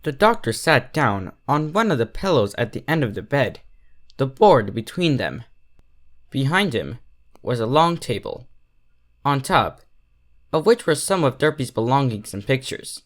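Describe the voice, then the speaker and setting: A man's voice: mid-range voice, big pitch swings, measured pace. A man reading a story aloud as scripted narration. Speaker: fan fiction reader.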